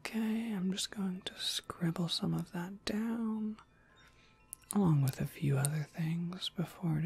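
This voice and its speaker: Kindly witch shopkeeper voiced by a female actor, low-pitched husky whisper, gentle and courteous, for ASMR roleplay. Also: heavily acted. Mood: musing, soft. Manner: gentle, polite, unhurried. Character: friendly witch shopkeeper, kindly and softly welcoming.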